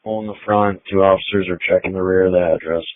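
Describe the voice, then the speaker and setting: A male police officer with a lowish voice gives terse status reports. He is heard through a tinny, narrow police radio channel. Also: fairly even intonation, quick pace, no echo. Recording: tinny narrow-band police scanner audio.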